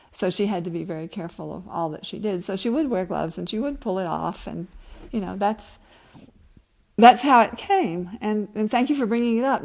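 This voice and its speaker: A woman's voice: mid-range voice, darkish tone. A woman novelist speaking at a book event, reading from her work and answering questions.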